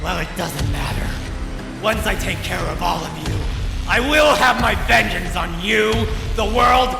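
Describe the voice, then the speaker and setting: Vengeful ghost villain, super high raspy ranting, big echoey hall. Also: male voice, unhurried, full character voice. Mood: vengeful gloating. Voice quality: gravelly, creaky. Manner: megalomaniac, theatrical shouting. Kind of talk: fan audio drama acting. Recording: echoey hall reverb, loud music behind.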